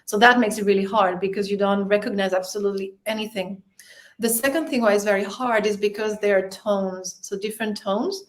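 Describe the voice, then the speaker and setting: A woman's voice: medium pitch, fairly even intonation, a little fast. Woman, Spanish-born, Spanish native speaker, podcast guest, chatty, frequent fillers. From Spain. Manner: chatty, laughs often, frequent fillers and restarts. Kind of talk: casual interview chat.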